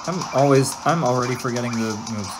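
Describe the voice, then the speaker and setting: A woman's voice: extremely low pitch, fast talker, heavy background noise. A woman giving casual let's-play commentary as host, giggly and easily distracted with frequent exclamations. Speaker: gameplay host. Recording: game music behind the voice. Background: video game music.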